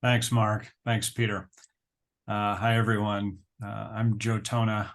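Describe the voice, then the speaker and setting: A man's voice: fairly low pitch, little pitch movement, takes it slowly. A man, an intensivist, introduces himself on a webinar in an earnest way, with many fillers. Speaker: intensivist, registry chair.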